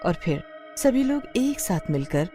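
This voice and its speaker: A woman's voice: on the low side, big pitch swings, a little slow, mellow, soft background music. A woman narrating a scripted horror story as a storyteller.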